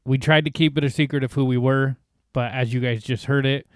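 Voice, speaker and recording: A man in his forties banters on a close, dry mic, with a voice that is neither high nor low. He needles his co-host with deadpan jokes and playful back-and-forth. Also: a little fast, clear, resonant tone, no room sound. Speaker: podcast co-host. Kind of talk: casual banter podcast.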